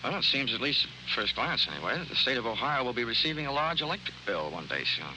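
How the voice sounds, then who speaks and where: An actor playing a cynical, wisecracking insurance investigator in 1940s radio style, with a wry, tough manner. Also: male voice, medium pitch, sing-song, quick pace, noisy background, natural voice. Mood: sardonic. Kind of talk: 1940s radio detective acting and narration. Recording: old 1940s radio recording with music.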